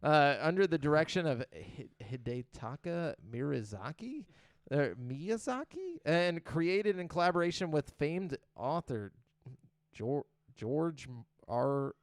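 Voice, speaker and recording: Male podcast host, loose and profane, slightly high voice, trips over names. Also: big pitch swings, a little slow, dry recording, studio quality. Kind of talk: casual podcast chat, reading game release news.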